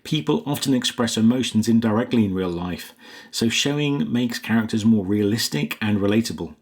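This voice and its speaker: Low-pitched man giving a scripted lesson. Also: animated, moderate pace, a little mellow, husky. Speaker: writing coach and host. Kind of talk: scripted instructional narration.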